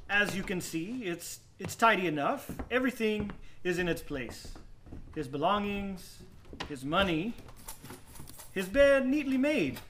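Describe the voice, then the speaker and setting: A man playing a high-voiced, nervously polite murderer. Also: sing-song, a bit bright, slight background noise, full character voice. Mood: nervous politeness. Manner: genteel, overly courteous, halting. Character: nervous, over-polite male murderer hiding guilt from police. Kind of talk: radio drama acting.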